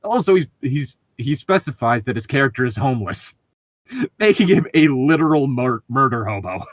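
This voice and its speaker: A male co-host reads a story out loud and drops in casual asides. His pitch is animated and varied, and the recording is dry and free of room sound. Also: moderate pace, dry recording. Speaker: co-host reading online stories aloud. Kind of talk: reading stories aloud with casual commentary.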